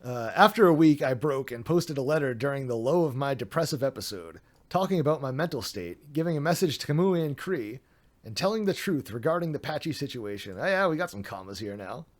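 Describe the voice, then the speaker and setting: A man's voice: medium pitch, lively intonation, fairly quick. A man streaming, reading a document aloud with sarcastic, mocking asides, his voice getting a little worn.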